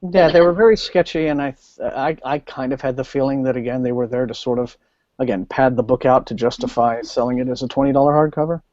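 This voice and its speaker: A man hosting a casual book discussion, speaking haltingly and often rephrasing mid-thought over an online call. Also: medium pitch, darkish tone, no room sound. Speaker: host of a book discussion liveshow. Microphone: video call. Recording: muffled, lo-fi online call audio.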